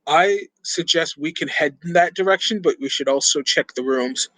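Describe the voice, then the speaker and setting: A man's voice: on the high side, normal speed, bright, forceful tone, no echo, low-quality recording. A man speaking casually as a player in a tabletop roleplaying game, making suggestions and asking questions.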